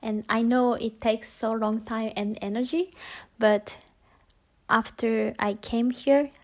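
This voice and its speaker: Very slow, earnest young woman. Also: on the high side, fairly even intonation, a little mellow. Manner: earnest, halting with short pauses. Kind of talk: interview answer.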